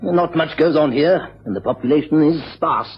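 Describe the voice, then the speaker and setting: A man's voice: fairly high pitch, normal speed, natural voice. A man playing a cheerful, chatty retired English police superintendent turned country publican in a radio drama. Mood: confiding. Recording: muffled, noisy old radio recording.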